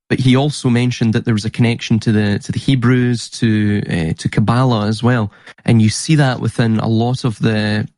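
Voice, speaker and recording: A man's voice: mid-range voice, a little mellow, no echo. A man talking in a casual podcast conversation, explaining things in an earnest way. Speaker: podcast guest and researcher.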